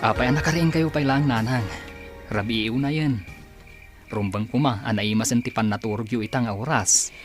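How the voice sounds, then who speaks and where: A man's voice: mid-range voice, normal speed, lightly acted. Hardworking, respectful son, male actor: mid-range voice, audio drama delivery over faint music. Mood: respectful, reassuring. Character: dutiful, respectful hardworking adult son and masseur. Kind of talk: Ilocano audio drama acting.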